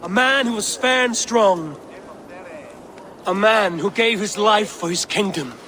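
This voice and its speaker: Fierce, high-pitched Norse warrior leader. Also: male voice, measured pace. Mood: solemn, rousing. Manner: commanding, declamatory, emphatic. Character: fierce battle-hardened Norse warrior leader, rousing and resolute. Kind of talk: dramatic video game acting. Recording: loud music behind the voice.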